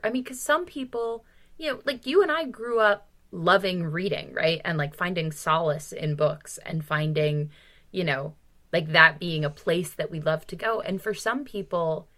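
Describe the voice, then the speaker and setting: A woman with a mid-pitched voice speaks as a podcast co-host in casual, opinionated book talk. She is emphatic and exasperated, pushing her points hard and swearing freely as she goes. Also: a bit bright.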